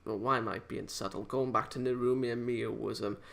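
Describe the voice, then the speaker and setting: A man giving a casual, unscripted review in a halting, hesitant, rambling way, often correcting himself as he goes. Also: medium pitch, steady tone, gravelly, fairly bright tone. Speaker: amateur video game reviewer.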